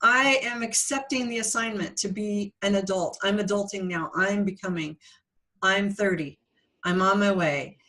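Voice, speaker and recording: Middle-aged woman podcast host, mid-pitched, earnest storyteller with a playful streak. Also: moderate pace, sharp tone, low-quality recording. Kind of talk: casual reflective chat.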